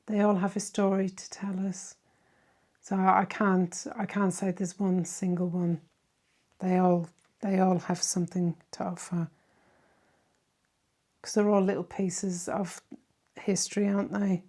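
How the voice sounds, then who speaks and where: A middle-aged woman with a softened Irish accent, chatting casually as she answers viewer questions. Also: mid-range voice, flat delivery, a little slow, pauses often. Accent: Irish, softened by decades in southern England. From Ireland. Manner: chatty and warm-hearted, many "um"s and tag questions. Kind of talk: casual Q&A chat.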